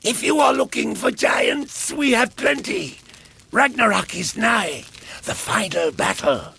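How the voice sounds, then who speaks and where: An actor playing a crafty old Norse wanderer in a game cutscene, theatrical and wheedling. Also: male voice, extremely high pitch, animated, slow, vocal fry, pushed and bright, breathy edge, heavily acted. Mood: theatrical, foreboding. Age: older. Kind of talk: video game cutscene acting.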